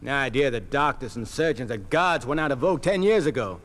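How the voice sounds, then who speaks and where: An actor playing a smug, insolent young medical intern in an old radio drama, glib and brash. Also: male voice, medium pitch, animated, fairly quick, fairly bright tone, natural voice. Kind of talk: 1950s radio drama acting. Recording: old 1950s radio recording.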